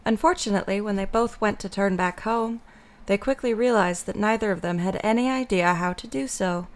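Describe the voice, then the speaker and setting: A woman's voice: mid-range voice, brisk. A woman narrating a scripted story as the narrator of an audio drama.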